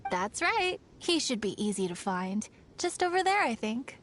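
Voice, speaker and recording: A woman's voice: fairly high pitch, big pitch swings, a little slow, a bit bright, slight background noise. An actress playing a friendly, helpful, dutiful young townswoman in a video game, polite and reassuring. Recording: music behind the voice.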